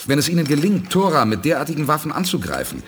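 A man playing a calm, resolute space commander in a 1980s German radio play, firm and earnest in his delivery. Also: on the high side, quick pace. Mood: urgent, determined. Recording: noisy 1980s radio drama production.

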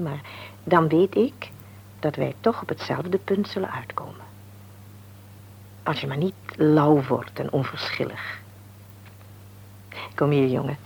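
An actress playing a devout, gentle older mother in a radio drama, speaking earnestly and haltingly as she searches for words. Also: low-pitched, creaky, breathy edge, heavily acted. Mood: gentle, reassuring. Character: devout, gentle old mother counselling her stubborn son.

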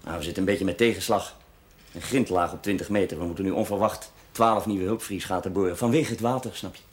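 A man's voice: low-pitched, quick pace, close to an everyday voice. An actor playing a reserved, plain-spoken works foreman in a television drama, terse and matter-of-fact. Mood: civil, guarded. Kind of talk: naturalistic television drama dialogue. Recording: noisy old television soundtrack.

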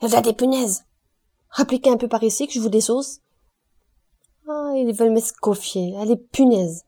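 A woman reading aloud and voicing a delirious, shouting male roofer with a hoarse voice who curses and raves. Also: mid-range voice, unhurried, close to an everyday voice. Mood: raving. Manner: raving, shouting, cursing.

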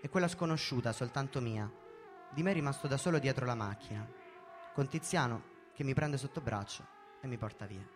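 A man reading a first-person story in a measured, theatrical way, as a dramatic narrative performance. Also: mid-range voice. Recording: music behind the voice. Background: background music.

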